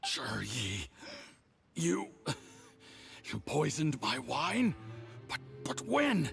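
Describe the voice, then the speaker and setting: A smug male diplomat from a fantasy game. His pitch swoops widely and his delivery is slow and theatrical. He sounds condescending and a little drunk, savoring his words like a patron talking down to an underling. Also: wildly swooping pitch, creaky, fairly bright tone, faint music behind, full character voice. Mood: shocked, betrayed. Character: smug, condescending, tipsy Fatui diplomat, adult man. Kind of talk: video game voice acting.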